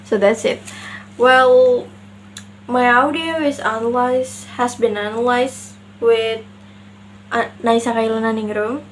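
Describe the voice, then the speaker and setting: A young woman with an Indonesian accent, a native Indonesian speaker, explaining her pronunciation mistakes slowly as she searches for words. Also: on the high side, steady tone, a little hiss or hum. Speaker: student. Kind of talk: class presentation on pronunciation.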